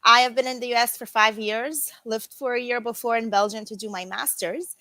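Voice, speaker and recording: Woman from lebanon, coach, bit high voice, talks thoughtfully, dry mic. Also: fairly even intonation, a little fast, sharp tone, no echo. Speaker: coach and corporate trainer. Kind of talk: interview guest.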